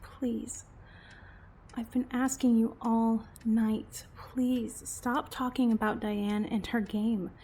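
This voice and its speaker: A woman's voice: a little high, little pitch movement, slow pace, dark tone, breathy edge, exaggerated voice. A woman acting a patient but exasperated game master in a comedy audio drama, explaining and pleading wearily. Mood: hurt pleading. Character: patient, exasperated female game master and put-upon girlfriend.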